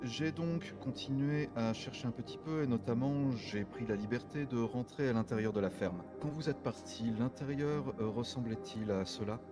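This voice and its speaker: A man playing a courteous private detective in online roleplay, explaining things formally and deliberately, with halting pauses. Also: mid-range voice, close to an everyday voice. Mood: grave, measured. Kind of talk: improvised French online roleplay. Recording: background music under the voice.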